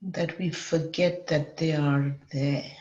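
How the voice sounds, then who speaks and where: Female meditation guide, measured and unhurried with long mid-sentence pauses, slightly dull lo-fi sound in a roomy space. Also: low-pitched, steady tone, a little mellow. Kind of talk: guided meditation, semi-improvised. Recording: dull lo-fi, echoey room.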